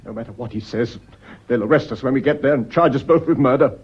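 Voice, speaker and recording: A man's voice: mid-range voice, fast, slightly rough, close to an everyday voice. A man playing an anxious, cornered British schoolmaster in a radio drama, intense and theatrical. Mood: alarmed, despairing. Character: anxious, cornered schoolmaster, bitter secret lover under suspicion. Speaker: actor in a radio play. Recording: dull, band-limited radio drama recording.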